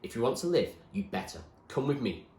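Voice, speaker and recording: A man voicing a calm, blunt and ruthless young gang leader in a storybook, with a commanding manner. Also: mid-range voice, fairly quick, reverberant, pitched above the performer's own voice, natural voice.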